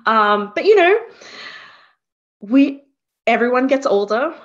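Unhurried Australian woman guest who thinks aloud; slightly dull lo-fi recording. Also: high voice, lively intonation. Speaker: guest, career coach. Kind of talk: conversational interview.

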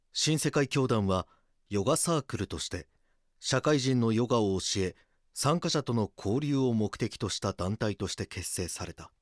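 A man reading scripted documentary drama narration in a solemn, measured manner. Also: fairly low pitch, dry recording, clean studio sound.

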